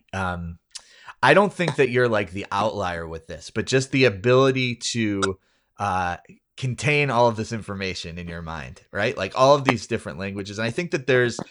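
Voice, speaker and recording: A man's voice: fairly low pitch, fairly bright tone. Hesitant man hosting a podcast interview. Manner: hesitant, many fillers and restarts. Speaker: podcast host. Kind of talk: interview conversation.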